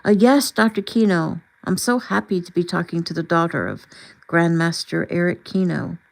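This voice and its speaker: A humble and grateful man of faith in a storybook, acted out by a woman reader. He talks politely and modestly, with quiet devotion. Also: lowish voice, moderate pace, vocal fry, poor audio. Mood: eager, nervous.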